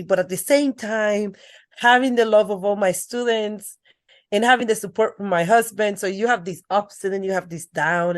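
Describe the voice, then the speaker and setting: Brief: lower-pitched middle-aged woman, earnest storyteller on a podcast. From Dominican Republic. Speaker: guest. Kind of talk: podcast interview.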